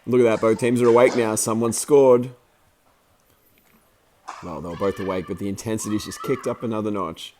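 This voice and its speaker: A man just over the flu, his voice croaky, giving chatty, rambling commentary over footage of a hockey game he filmed, with plenty of fillers and restarts. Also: on the low side, fairly even intonation, normal speed, long pauses, dark tone, a little hiss or hum. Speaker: amateur hockey commentator and video maker.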